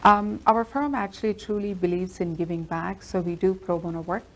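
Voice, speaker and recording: A woman lawyer, a native Telugu speaker, answering interview questions in an earnest, steady storytelling manner. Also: fairly low pitch, moderate pace, slight background noise, rough recording. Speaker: immigration lawyer.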